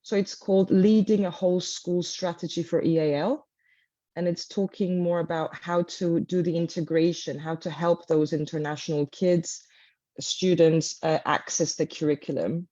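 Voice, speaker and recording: Mellow-voiced woman from Cyprus, guest teacher. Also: fairly low pitch, fairly even intonation, moderate pace, no echo, dull audio. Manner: thoughtful, many ums and uhs. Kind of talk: interview conversation.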